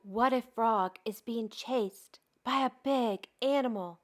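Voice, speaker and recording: Storybook toad, male, anxious and devoted, played by a woman. High, unhurried, emphatic. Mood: worried, fearful. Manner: dramatic, emphatic worrying and questioning.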